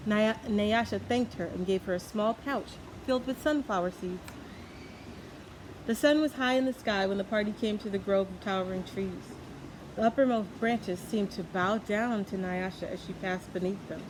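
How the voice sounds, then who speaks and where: A woman's voice: mid-range voice, normal speed. A woman narrating a children's story from a book as a storyteller. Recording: clearly noisy background.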